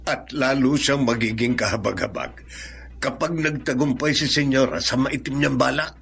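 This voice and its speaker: An actor playing a loyal, timid household servant in a radio drama, earnest and deferential, with a Filipino accent. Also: male voice, medium pitch, normal speed, vocal fry, a bit bright, husky. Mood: earnest, worried.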